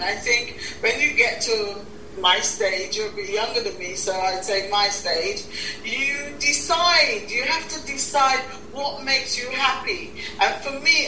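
A woman's voice: medium pitch, reverberant, far from the mic, background noise. A woman in her sixties, from Guyana, speaking reflectively as a guest in an interview and often repeating words as she starts a thought. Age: 60s. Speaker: talk show host, interview guest.

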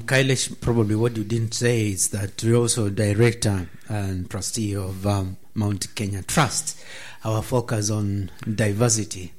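A man hosting a radio talk show from a studio, welcoming listeners and his guest with many ums and uhs. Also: on the low side.

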